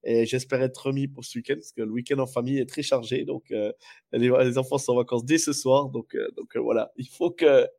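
A man's voice: medium pitch, fairly even intonation, brisk, gravelly, warm tone. A man co-hosting a sports podcast, stuffy with a cold, rambling through his picks. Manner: rambling, many fillers and restarts. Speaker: podcast co-host. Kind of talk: casual sports chat.

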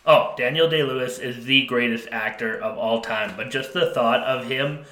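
Male co-host, bright forward voice, echoey room sound, casual joking podcast chat, candid and self-mocking. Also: mid-range voice, normal speed.

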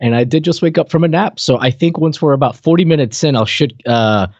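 A man's voice: on the high side, a little fast, a little mellow, no echo. A man co-hosting a podcast over an online call, chatting casually in a joking, mock-serious way. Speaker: podcast co-host. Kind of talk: casual chat. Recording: dull, slightly lo-fi call audio.

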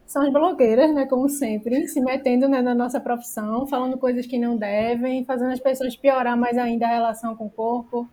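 A Brazilian woman with a distinctly high voice talks as a guest expert on nutrition. Her delivery is casual and explanatory, dotted with small tag questions that check for agreement. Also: fairly even intonation, a little fast, few pauses, dark tone. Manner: peppers speech with tag questions seeking agreement.

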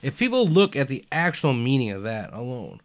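A young man in casual conversation, emphatic and animated, dropping "man" and "dude" as he argues his point. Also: mid-range voice, brisk. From United States, family from Albania. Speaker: podcast guest. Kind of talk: casual chat.

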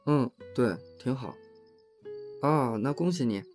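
A man's voice: medium pitch, lively intonation, slow pace, a little mellow, faint music behind. A man in an audio drama playing a reserved, practical young man, speaking in a terse, understated way. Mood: friendly. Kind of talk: Chinese audio drama acting.

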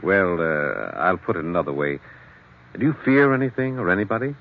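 An actor playing a stern, probing psychiatrist in a 1940s radio drama, with measured clinical questioning on a muffled old recording. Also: male voice, deep voice, very animated, vocal fry, exaggerated voice. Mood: probing, detached.

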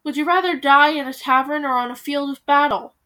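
An earnest young prince urges a crowd to join him, as a woman reads the part. His plea comes out fairly high and straightforward. It belongs to a storybook read aloud. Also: normal speed, fairly bright tone. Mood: urgent. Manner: earnest, pleading.

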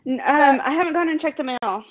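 Female interview guest, fairly high voice, unsure and self-deprecating, dry lo-fi phone call. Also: brisk, dry recording. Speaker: interview guest, body-paint content creator. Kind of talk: casual interview answers. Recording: dull, lo-fi phone call audio.

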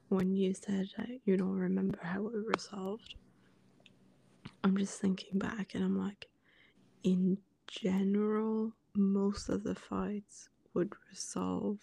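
A woman talking in a soft, slow whisper about her own past, calm and measured. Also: medium pitch, vocal fry, husky, dry recording. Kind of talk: whispered confessional conversation.

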